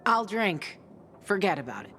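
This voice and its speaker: A woman's voice: medium pitch, sing-song, moderate pace, fairly bright tone, a little hiss or hum. An actress playing a hard-bitten, sardonic young woman in a video game, blunt and guarded. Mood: dismissive, sardonic. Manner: blunt, dry, profane. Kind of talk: video game character acting.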